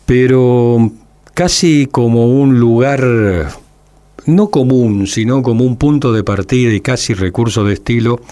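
A man hosting an interview, asking a long, elaborately phrased question in a measured way. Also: medium pitch, mellow, no echo. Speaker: interview host.

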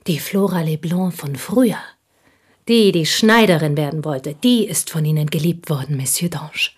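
Husky, low-voiced embittered confidante. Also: female voice. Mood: bitter, intense. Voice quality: husky, breathy, dropping into whispers. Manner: fervent, halting confession. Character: intense, embittered provincial Frenchwoman, confessing devoted confidante. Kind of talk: German radio play acting, dramatic monologue.

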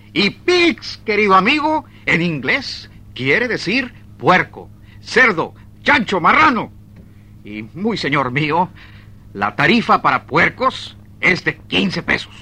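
Radio theatre role: officious male clerk, very sharp high voice, pedantic, stubborn, condescending. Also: very animated, takes it slowly, breathy edge, heavily acted. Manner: obstinate, emphatic, condescending. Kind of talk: 1970s-80s Spanish-language radio theatre acting.

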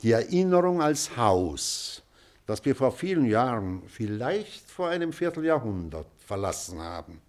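An older actor playing an elderly schoolmaster, pedantic and wistful, lecturing deliberately in a German radio play. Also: male voice, mid-range voice, big pitch swings.

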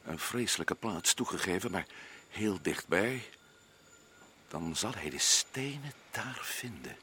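A man's voice: deep voice, lively intonation, takes it slowly, pushed and bright, a little hiss or hum, full character voice. An actor playing a grim, guarded male adventurer recounting events in a radio drama. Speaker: narrator and lead character Paul.

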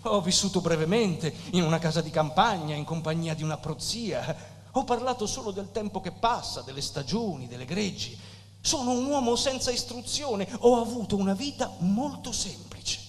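An actor playing a humble, affectionate country poet and fatherly mentor on stage, speaking with earnest, measured courtesy. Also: sits high, expressive. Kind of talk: theatrical literary stage acting. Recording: reverberant hall with background noise.

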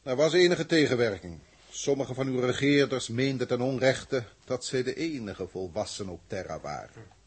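Pompous husky alien commander. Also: male voice, medium pitch, lively intonation. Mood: lofty, explanatory. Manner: formal, pompous, deliberate. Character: lofty alien commander of a galactic starship, male. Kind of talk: 1960s Dutch science fiction radio play acting.

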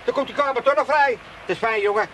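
A man's voice: very high voice, fast, fairly bright tone, slight background noise, poor audio. An actor playing a cheeky, stubborn old father, grumbling and wisecracking in a comedy radio play. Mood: stubborn, cajoling. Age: older. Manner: grumbling, repetitive, wry.

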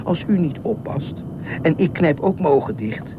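A man's voice: a little high, lively intonation, normal speed, lightly acted. A man acting in a radio play as an eccentric, middle-aged self-appointed beach watchman, officious, rambling and easily indignant. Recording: noisy, tinny phone-like radio drama recording.

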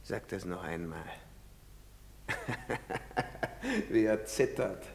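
An actor playing a coarse, bullying soldier who mocks and taunts in a drama. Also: male voice, lowish voice, huge pitch swings, very slow, vocal fry, full character voice. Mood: menacing. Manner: mocking, swaggering, taunting. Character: coarse bullying male soldier, loud and jeering. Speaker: soldier in a stage play. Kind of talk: radio drama acting. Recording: echoey hall reverb.

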